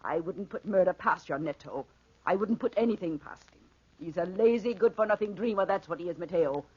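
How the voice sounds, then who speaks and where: A woman playing a bitter, fearful peasant wife in 1940s radio drama style, scornful and nagging, with a husky voice. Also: lowish voice, lively intonation, brisk, mellow. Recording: muffled old radio recording.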